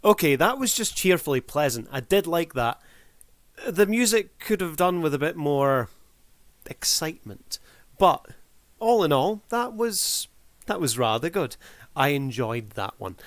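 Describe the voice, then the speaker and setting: Smooth-voiced man hosting a casual review. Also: mid-range voice, dry recording. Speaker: video host, reviewer.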